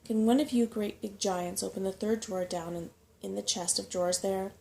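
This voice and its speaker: A woman's voice: mid-range voice, steady tone, normal speed, creaky, dark tone, slight background noise, close to an everyday voice. A woman voicing a fussy, chatty, know-it-all old male rat in a storybook reading, with emphatic delivery. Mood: cross, flustered.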